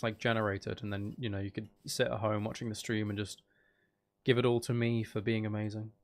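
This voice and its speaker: A man chatting casually and thinking aloud as he hosts a live game stream, talking to his viewers. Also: medium pitch.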